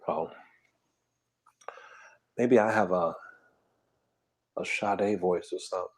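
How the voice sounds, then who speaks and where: Chill guy murmuring soft and quiet on his eating stream, low voice, real laid back. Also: slow pace, breathy edge, poor audio. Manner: soft, quiet, half-whispered talk; relaxed and drowsy, reading comments aloud. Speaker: ASMR mukbang channel host. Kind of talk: casual live-stream chat while eating.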